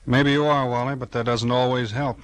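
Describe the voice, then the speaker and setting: Actor as steady, hard-boiled American police chief. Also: male voice, mid-range voice, a little fast, lightly acted. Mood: sober, regretful. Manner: plain-spoken, hard-boiled, authoritative. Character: steady small-town police chief, tough but regretful. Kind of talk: 1940s American radio crime drama acting.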